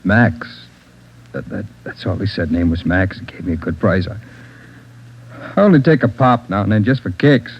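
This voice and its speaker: A man's voice: low voice, normal speed, long pauses, slight background noise, lightly acted. An actor playing a nervous male drug suspect under police questioning, stammering and evasive. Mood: sick. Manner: stammering, evasive, repeating himself. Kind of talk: 1940s radio acting. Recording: muffled old radio broadcast.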